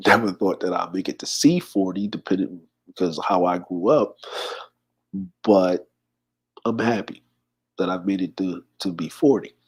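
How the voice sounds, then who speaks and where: A man's voice: sits low, moderate pace, pauses often, slightly rough, rough recording. A man of forty hosting a casual livestream, reading viewer comments aloud and greeting people by name. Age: 40s. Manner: relaxed, shouts out viewers and reads comments aloud. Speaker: livestream host.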